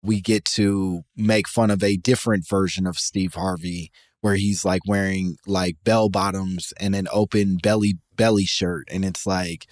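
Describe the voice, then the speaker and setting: Slow-talking laid-back man, dry close sound. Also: low voice, level tone, darkish tone, no echo. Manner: unhurried, profane, laughs often, halting fillers. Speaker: podcast co-host. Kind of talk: casual comedy podcast banter.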